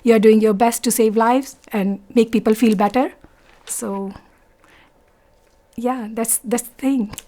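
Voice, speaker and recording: A woman in her forties with an Indian accent, speaking in a slightly high voice. She tells her own story to an interviewer, soft-spoken and unhurried, often stopping to search for the right word. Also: steady tone. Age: 40s. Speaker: interviewee, doctor.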